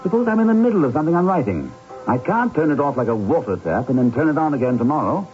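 An actor playing a troubled Victorian writer who sees visions, speaking in agitated, theatrical old radio drama style. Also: male voice, on the high side, sing-song, fast. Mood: insistent. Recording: dull old radio broadcast with music.

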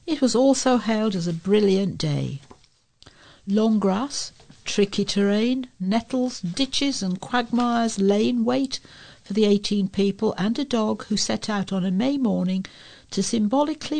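A British woman reads written pieces aloud in the role of a volunteer newsreader. Her voice is medium in pitch. The delivery is that of someone reading from a printed text. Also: creaky, a little mellow. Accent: British English. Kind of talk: reading letters and articles aloud.

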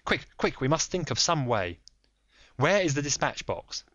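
A man performing a composed detective who presses his point with quiet authority. The voice sits a bit above the narrator's. The recording is dry and muffled. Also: fairly high pitch, very animated, no echo, voice pushed up. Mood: urging. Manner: measured, insistent, authoritative. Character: calm, firm, commanding male detective. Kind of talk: audiobook reading.

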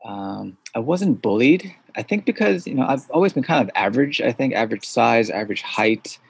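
A man from suburban New York, a podcast guest who answers thoughtfully with hesitant pauses. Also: medium pitch, animated, creaky, slightly rough. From suburbs of New York City. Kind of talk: interview conversation.

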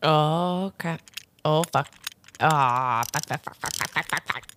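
A woman playing an anxious, flustered secret agent in an improvised audio drama, muttering nervously and haltingly. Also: deep voice, noisy background. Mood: panicked. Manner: halting, nervous, repetitive muttering and self-encouragement. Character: anxious, flustered female secret agent, talking herself through danger.

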